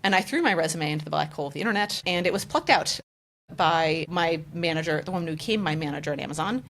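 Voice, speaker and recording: A woman's voice: low voice, animated, fast talker, fairly bright tone. A woman with an American accent whose first language is French, a guest in a podcast interview, telling her story in an enthusiastic, chatty way. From United States. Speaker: guest, general counsel.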